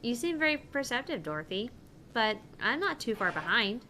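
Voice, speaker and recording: A woman's voice: on the high side, very animated, moderate pace, bright, forceful tone, a little hiss or hum. Woman reading as an earnest young female officer. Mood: earnest, official. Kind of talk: voiced reading of visual novel dialogue.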